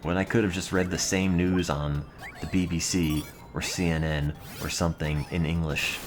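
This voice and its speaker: A man's voice: low voice, level tone, moderate pace. A young adult man streaming and answering his chat in a dry, rambling, offhand way. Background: music playing.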